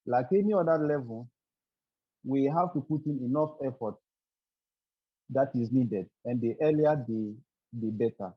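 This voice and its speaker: A man's voice: mid-range voice, unhurried, long pauses, no room sound. A man giving an earnest webinar talk over a video call, often repeating words as he searches for them. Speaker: webinar guest speaker. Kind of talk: formal presentation. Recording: dull dry video call audio.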